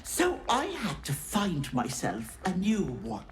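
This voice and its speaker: A woman's voice: lowish voice, wildly swooping pitch, slow, background noise, heavily acted. A woman playing a gleefully cruel, pregnant old hag in a video game, theatrical, taunting and menacing. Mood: gloating, mocking. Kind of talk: video game villain acting.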